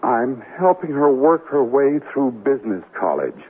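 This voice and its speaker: A man's voice: mid-range voice, sing-song, normal speed, natural voice. An actor playing a wry, middle-aged American newlywed businessman with a dry, wisecracking delivery in a radio comedy drama. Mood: wry, cheerful. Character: newlywed businessman husband, wry, playful, mildly jealous. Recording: tinny, noisy old radio broadcast recording.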